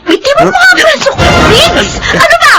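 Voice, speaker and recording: A woman's voice: very high voice, very animated, a little slow, over loud music, exaggerated voice. An actress playing a gracious but firm young businesswoman in a Filipino radio drama. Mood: annoyed, defiant.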